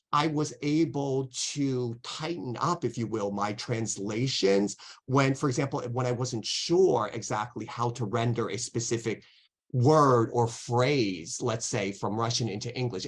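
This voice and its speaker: A man answering questions as a guest lecturer in an online academic talk, careful and hedging, with many restarts. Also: mid-range voice. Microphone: video call.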